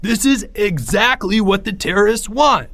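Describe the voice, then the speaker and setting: Bombastic schoolboy speechmaker, played by a male reader: higher pitch, big swings, pompous and defiant, storybook delivery. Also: big pitch swings, slow, full character voice. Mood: indignant. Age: child. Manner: pompous, declamatory, forceful. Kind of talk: audiobook character voice.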